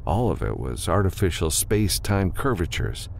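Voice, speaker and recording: A man's voice: sits low, big pitch swings, moderate pace, creaky, a little hiss or hum. A man reading a science fiction novel as scripted audiobook narration.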